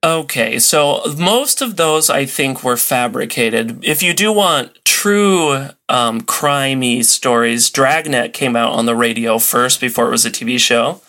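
A man's voice: mid-range voice, animated, a little slow, hardly any pauses, pushed and bright. A man talking as a podcast guest and enthusiast, explaining and telling stories in casual chat. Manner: conversational storytelling. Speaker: podcast guest, old-time radio enthusiast.

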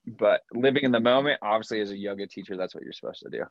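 American guy around 30, kinda low voice, laid back candid chat, close dry slightly lo-fi audio. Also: very fast, no room sound. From Colorado, United States. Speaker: yoga teacher, podcast guest. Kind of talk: casual conversation.

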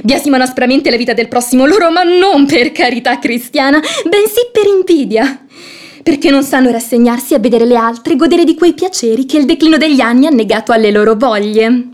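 Shrewd young maid, high voice, mocking, sardonic, articulate, theatrical radio drama. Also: female voice, lively intonation, brisk, darkish tone. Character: sharp-tongued, outspoken young maidservant, mocking and shrewd. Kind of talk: theatrical radio play acting.

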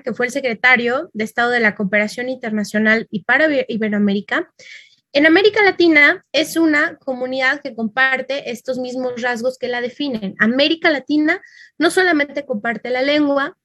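A woman's voice: high-pitched, fairly bright tone, no room sound. A Mexican woman giving an informal lecture, talking conversationally and thinking aloud with small fillers.

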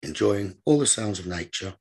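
Husky low-voiced man guiding meditation. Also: lively intonation. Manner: slow, repetitive, gently instructing. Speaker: meditation teacher. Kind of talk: guided meditation.

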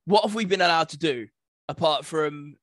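A man's voice: on the high side, normal speed, a bit bright, no echo, clean studio sound. A young British man in casual podcast chat as co-host, informal and acting out imagined exchanges. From United Kingdom.

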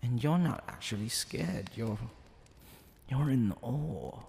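A man voicing a teasing, seductive and smug demon king in an ASMR roleplay, drawling theatrically with gravelly tones and whispered asides. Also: medium pitch, expressive, slow pace, darkish tone. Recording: long reverb, faint background noise.

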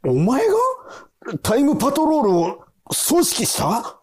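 A man's voice: extremely high pitch, big pitch swings, measured pace, a little mellow, exaggerated voice. An actor in an audio drama playing a stern, blunt time patrol officer who is torn over his duty. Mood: shocked, challenging. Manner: blunt, gruff, weighty speech.

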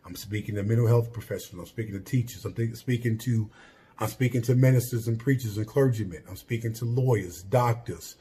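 A man hosting his own podcast, talking alone about why he started the show. He has a loud voice and speaks earnestly and with emphasis, often restarting and repeating his phrases. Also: lowish voice, brisk. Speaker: podcast host. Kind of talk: solo podcast monologue.

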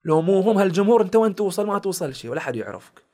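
A man's voice: sits high, very fast. A young Bahraini man with a village Bahraini accent, telling stories in a casual podcast chat and acting out the people he quotes. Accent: Bahraini village dialect. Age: young adult. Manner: animated storyteller acting out quoted exchanges. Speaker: guest, content creator and comedian.

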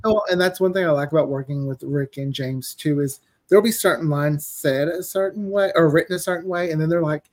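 A man's voice: on the high side, fairly quick, few pauses, soft background music. A man chatting casually as a podcast guest and writer, telling stories and acting out quoted lines as he goes. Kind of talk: casual interview chat.

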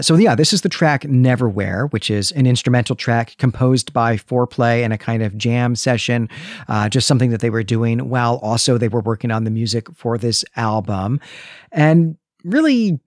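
A middle-aged man with a medium-pitched voice speaks as a podcast co-host. He analyses things in a conversational, reflective way, often checking that his listener agrees. The recording is close and dry. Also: expressive, normal speed, no room sound.

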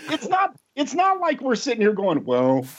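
A man's voice: very high voice, slight background noise. A middle-aged American man hosting a casual podcast chat, rambling and chuckling, a little self-deprecating. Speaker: podcast host. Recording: dull lo-fi audio.

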